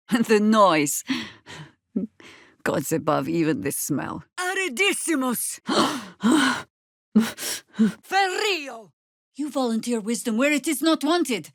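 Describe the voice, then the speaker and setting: Casting: seasoned older woman warrior-druid for fantasy game; sardonic, commanding, slow delivery with very mobile pitch, dry studio sound. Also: no echo, full character voice. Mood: fierce, battle cries. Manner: wry, commanding, deliberate. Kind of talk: fantasy video game voice acting.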